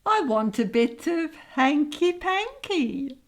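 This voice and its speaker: A woman's voice: high voice, big pitch swings, takes it slowly, full character voice. A woman playing a naive, lovelorn woman in a comic radio soap, with childlike, melodramatic pleading. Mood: wistful, coy. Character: tearful, naive, lovelorn woman longing for marriage.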